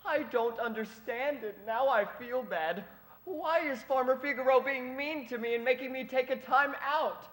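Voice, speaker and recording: A woman voicing a naive, remorseful male puppy who speaks softly and sings plaintively in a children's musical story. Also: high-pitched, normal speed, natural voice. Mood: puzzled sad. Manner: soft, plaintive, sing-song. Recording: roomy echo with loud music.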